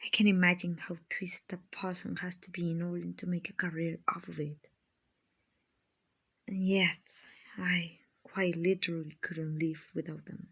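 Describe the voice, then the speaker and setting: Kindly female vampire acted by a woman, ASMR roleplay, husky and breathy, half-whispered, very slow, soothing, genteel. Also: lowish voice, a bit bright, heavily acted. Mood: calm, regretful. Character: gentle, kindly female vampire, soothing rich slave-buyer.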